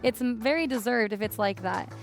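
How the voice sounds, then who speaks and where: A woman's voice: a little high, fairly quick, gravelly, faint music behind. A young American woman from California hosting a casual football chat, upbeat and moving the talk along. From California, United States. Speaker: host.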